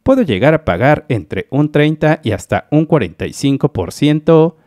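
Man from Mexico, explainer voice-over; animated pitch, dry close sound, methodical walkthrough. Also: moderate pace, no echo. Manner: methodical, addresses viewers as dear friends. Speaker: YouTube channel analyst. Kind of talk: screen-recorded explainer voice-over.

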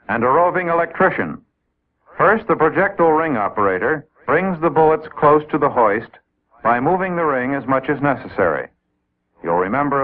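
An American man narrating a 1950s military training film in a methodical, explanatory manner, addressing trainees directly. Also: medium pitch. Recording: old 1950s film soundtrack, tinny narrow band.

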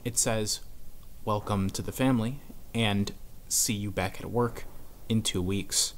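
Man, low voice, conversational scripted storytelling, horror narrator, noisy ambient background. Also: steady tone, measured pace. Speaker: narrator of a horror series explainer video.

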